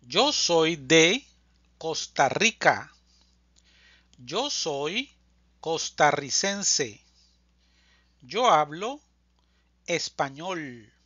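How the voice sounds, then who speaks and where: A language teacher reading a scripted drill slowly, enunciating deliberately phrase by phrase, as a close voice-over. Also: medium pitch, very animated, pushed and bright, no echo, highs cut off. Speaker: Spanish teacher.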